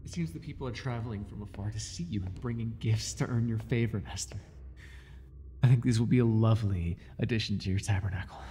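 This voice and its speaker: A man playing a devoted, fawning high priest of a dark god in an audio roleplay, stammering, reverent and overeager, with breathy delivery. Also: brisk. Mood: smug, reverent.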